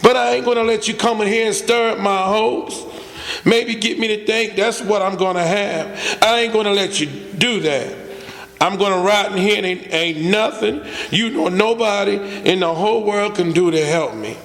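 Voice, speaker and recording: An actor playing a despairing, resigned young male prisoner with rough, uneducated speech in a radio drama. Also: high-pitched, moderate pace, a bit bright. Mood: pleading, despairing. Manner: plain uneducated speech with double negatives. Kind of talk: old-time radio drama acting. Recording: echoey hall stage recording.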